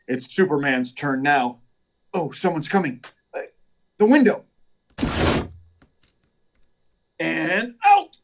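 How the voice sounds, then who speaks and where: A man's voice: a little high, exaggerated voice. A man playing an earnest, determined hero reporter in an old-time radio drama, emphatic and urgent. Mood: hurried, heroic. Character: earnest, determined male reporter, secretly a superhero.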